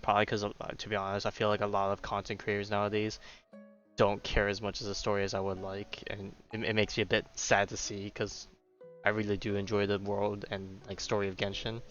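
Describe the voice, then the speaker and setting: A man's voice: on the low side, fairly even intonation, brisk, sharp tone, highs cut off. A man giving casual, slangy commentary as he streams a game. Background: game music.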